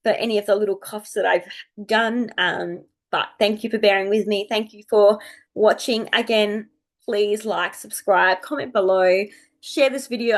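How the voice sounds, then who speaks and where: Female vlogger, thirties, slightly croaky with a cold, medium pitch, chatty unscripted delivery. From Australia. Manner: chatty, rambling, thinking aloud. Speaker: astrology video host. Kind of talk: casual unscripted chat to viewers.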